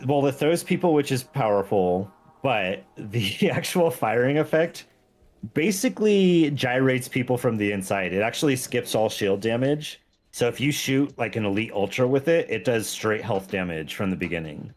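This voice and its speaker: A man's voice: mid-range voice, animated, normal speed. A man chatting casually as he plays a game, explaining things in a self-deprecating way. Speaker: game mod creator, guest. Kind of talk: casual chat while playing a game. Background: background music.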